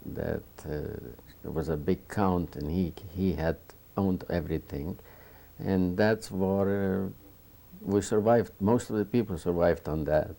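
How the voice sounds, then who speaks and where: Slow, gravelly older man, halting. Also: low voice, dark tone. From Bukovina, Romania. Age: 70s. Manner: halting, searching for words with many restarts. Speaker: Holocaust survivor interviewee. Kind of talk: oral history interview.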